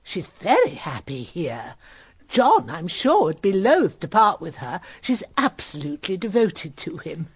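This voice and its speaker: An actress playing a soothing, optimistic older British lady in a period radio drama, gently reassuring and unhurried. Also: on the low side, huge pitch swings, slightly rough, no room sound, full character voice. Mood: fond, placid.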